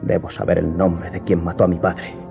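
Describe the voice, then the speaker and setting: A man playing a proud, formal young French gentleman in a radio drama, speaking gravely and deliberately. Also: low-pitched, flat delivery. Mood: grave, demanding. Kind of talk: Spanish-language radio drama acting. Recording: muffled narrow-band audio under music.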